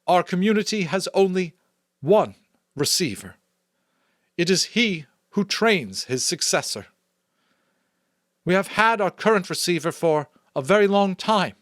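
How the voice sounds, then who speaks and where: Man voicing a commanding, high-pitched female chief elder. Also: lively intonation, a bit bright, no room sound, clean studio sound. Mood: firm, commanding. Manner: firm, declamatory, deliberate. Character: solemn commanding female chief elder addressing her community. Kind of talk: dramatic audiobook character voice.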